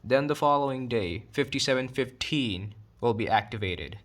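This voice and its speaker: A man's voice: medium pitch, moderate pace, a bit bright. A man narrating an explanatory online video in a documentary style, with casual, conversational asides.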